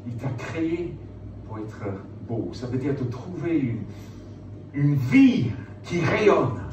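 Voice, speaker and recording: A man's voice: fairly high pitch, sing-song, slow, a little mellow. A middle-aged man preaching informally to young listeners, conversational and emphatic, with rhetorical questions and repeated phrases. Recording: echoey room, distant mic, noticeable background noise.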